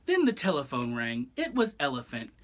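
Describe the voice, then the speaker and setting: Highly animated male storyteller. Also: normal speed. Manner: theatrical, addresses listeners directly. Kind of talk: expressive picture-book read-aloud with chatty asides.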